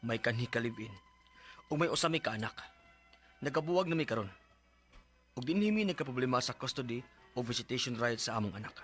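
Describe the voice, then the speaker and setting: Actor as fast-talking, strict, aggrieved stepfather. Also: medium pitch, animated, a bit bright, faint music behind, natural voice. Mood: earnest narrating. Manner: scolding, emphatic. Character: aggrieved, strict stepfather, adult man with short temper. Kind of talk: Philippine radio drama acting.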